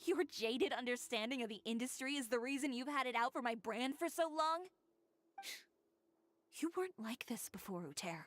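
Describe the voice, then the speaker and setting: A woman's voice: high voice, expressive, moderate pace. An actress playing a proud, determined young female fashion designer in a game, speaking in a firm, cool, lecturing way. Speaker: game character.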